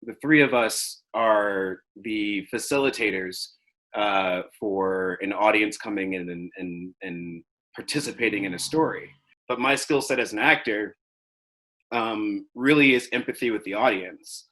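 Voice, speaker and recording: A man's voice: lowish voice, unhurried, bright, forceful tone, low-quality recording. A young man, an acting student, giving reflective answers in an interview. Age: young adult.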